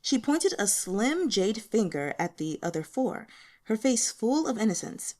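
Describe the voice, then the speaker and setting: A woman's voice: on the high side, sing-song, a little slow, darkish tone. A woman reading scripted fantasy fiction as an audiobook narrator.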